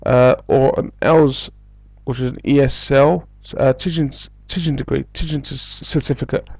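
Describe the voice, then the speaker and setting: A man's voice: medium pitch, creaky, darkish tone, dry recording. A man from England with an English accent, giving rambling, casual advice about teaching jobs, full of fillers and restarts. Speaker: English school recruiter.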